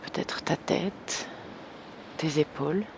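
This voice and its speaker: A woman guiding an evening meditation slowly and gently, in a soft, breathy voice that nears a whisper, with long pauses. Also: deep voice, background noise. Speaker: meditation guide. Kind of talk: guided evening meditation, yoga nidra.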